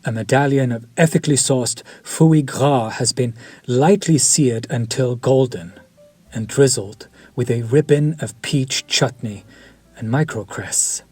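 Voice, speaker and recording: A man playing a slow husky vampire chef. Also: mid-range voice, no echo. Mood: savoring. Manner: slow, formal and soft-spoken, dropping to near-whispers. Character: suave male vampire chef, courteous and quietly predatory. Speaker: voice actor. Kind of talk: audio roleplay to the listener.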